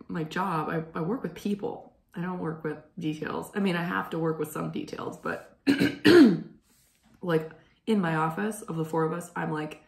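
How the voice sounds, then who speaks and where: A hoarse young woman hosts a knitting show from home, rambling through her chatty stories and clearing her throat. Her low voice has a gravelly edge. The room around her sounds echoey. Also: darkish tone. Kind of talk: casual chat.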